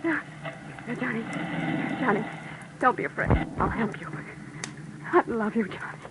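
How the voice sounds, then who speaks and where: An actress playing a frightened young woman pleading with her man, soft and imploring, in 1940s radio acting. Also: fairly high pitch, animated, unhurried. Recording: dull noisy old radio recording.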